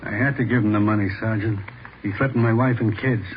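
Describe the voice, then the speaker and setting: An actor playing a plain-spoken market owner who was robbed, in an old radio drama. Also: male voice, on the low side, steady tone, fast talker, music in the background, close to an everyday voice. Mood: shaken, apologetic. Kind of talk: 1950s radio drama acting. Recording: dull old radio, faint noise.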